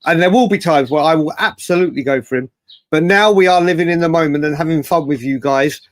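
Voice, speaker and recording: English male football show host, fairly high voice, dry sound, matey and digressive live banter. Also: quick pace, no echo. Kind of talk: casual live fan debate.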